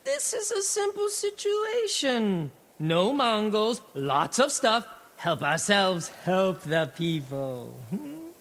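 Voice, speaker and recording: An actor playing an excitable, roguish sake merchant and hapless schemer in a video game cutscene, wheedling and theatrical. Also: male voice, sits high, takes it slowly, hardly any pauses, full character voice. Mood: eager salesmanship.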